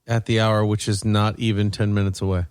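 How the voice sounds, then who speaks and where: A man's voice: on the low side, little pitch movement, brisk, darkish tone, smooth, no room sound. A man co-hosting a podcast in casual banter with dry, mock-serious teasing. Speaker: podcast co-host.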